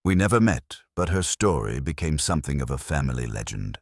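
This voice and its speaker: A man narrating a scripted mystery story in a measured, literary manner. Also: rumbling low, big pitch swings, dry recording, clean studio sound.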